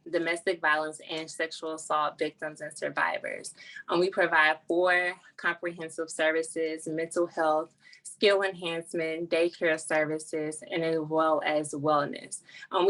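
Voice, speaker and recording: A woman's voice: low voice, fairly bright tone, low-quality recording. A woman speaking earnestly as a nonprofit founder, explaining her work as an interview guest.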